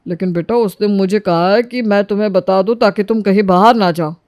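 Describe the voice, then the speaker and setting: A woman voicing a meek, pleading elderly father-in-law in a moral story, gentle and placating. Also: mid-range voice, brisk, no room sound, studio quality, natural voice. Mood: gentle, resigned.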